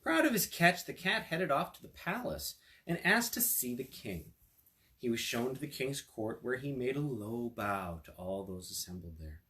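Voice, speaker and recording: A man reads a children's story aloud in a very animated way. His pitch moves up and down freely around a medium register, and he slips easily between narration and casual asides. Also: normal speed. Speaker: storyteller, attorney.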